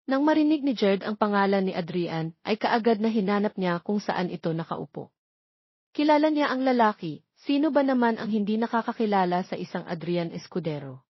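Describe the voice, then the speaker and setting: A woman's voice: mid-range voice, lively intonation, brisk, husky, dry recording, highs cut off. A woman reading scripted, dramatic romance fiction as a story narrator.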